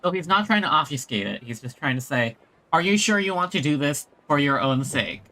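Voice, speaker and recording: A man's voice: on the high side, very animated, brisk, sharp tone, rough recording. A man doing casual running commentary as a video game streamer.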